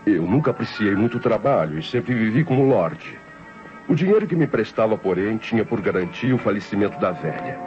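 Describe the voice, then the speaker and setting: An actor playing an idle, self-justifying nephew who narrates his confession formally and deliberately, with a Brazilian accent, in an old radio drama. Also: fairly low pitch, natural voice. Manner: formal, deliberate, theatrical diction. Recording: dull old radio recording with music.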